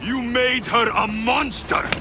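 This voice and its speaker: An actor playing a vengeful, grieving young Greek mercenary warrior in a dramatic video game cutscene. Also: male voice, very high voice, steady tone, slow pace, creaky, noisy background, exaggerated voice. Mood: bitter anger. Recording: loud music behind the voice. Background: loud music.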